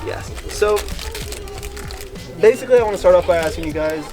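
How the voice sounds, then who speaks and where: A man's voice: high voice, animated, a little fast, over music. A young man from the United States, a travel vlogger on a mountain trail eagerly interviewing hikers in a casual, rambling way.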